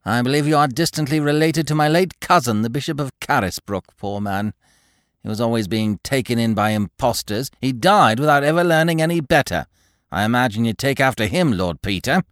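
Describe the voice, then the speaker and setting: A man's voice: mid-range voice, expressive, a little fast, a bit bright, no room sound, clean studio sound, voice pushed up, natural voice. Man putting on a prim older lady's voice. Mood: prim, disapproving. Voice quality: prim, affected. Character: woman, older, prim and disapproving. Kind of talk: audiobook reading.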